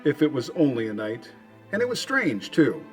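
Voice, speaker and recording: A man's voice: mid-range voice, sing-song, moderate pace, soft background music, natural voice. A man playing the earnest storyteller, narrating an old-time radio drama. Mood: measured, dramatic. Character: earnest storyteller of a Victorian tale.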